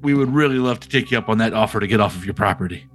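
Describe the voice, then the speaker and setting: A man's voice: lowish voice, a bit bright, faint music behind. A man playing a tabletop game, chatty and joking with the game master. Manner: chatty, jokey, quick to laugh. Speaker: player. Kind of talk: casual tabletop play.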